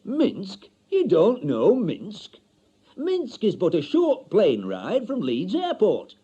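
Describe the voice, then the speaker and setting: A man puts on a pompous matchmaking salesman for a radio story. He speaks slowly and emphatically, his pitch swinging widely. Every phrase is officious and long-winded. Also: wildly swooping pitch, dark tone, husky, muffled recording, heavily acted. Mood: pompous, emphatic. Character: pompous, long-winded, smooth-talking marriage bureau salesman.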